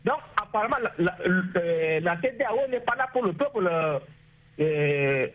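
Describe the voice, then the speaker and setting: High-pitched husky Chadian man on the phone. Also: expressive, measured pace. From Chad. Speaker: listener calling in to a radio show. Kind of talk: impassioned political opinion. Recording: muffled, lo-fi phone line.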